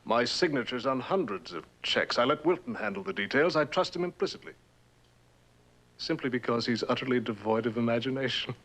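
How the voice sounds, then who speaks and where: A man's voice: mid-range voice, expressive, brisk, a bit bright. An actor playing a reclusive, paranoid, imperious rich man in a vintage television drama, with a grandiose, volatile manner. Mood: haughty. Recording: noisy old television soundtrack.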